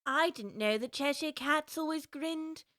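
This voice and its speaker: An actress playing a polite, curious young girl who speaks earnestly, timid yet quick to object. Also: high-pitched, fairly bright tone, no echo, studio quality. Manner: earnest, timid yet indignant, well-spoken. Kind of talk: audiobook drama acting.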